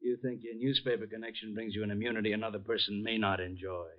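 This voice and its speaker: A man playing a smooth, condescending and coolly threatening racketeer boss in a 1950s radio crime drama. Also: on the low side, level tone, quick pace, natural voice. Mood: menacing. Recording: muffled vintage radio recording.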